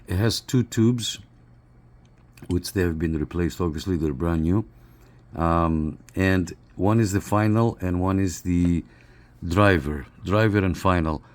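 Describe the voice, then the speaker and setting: A man gives an unscripted, explanatory product walkthrough as a reviewer, speaking in short phrases with pauses between them. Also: deep voice. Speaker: radio reviewer. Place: living room in an apartment.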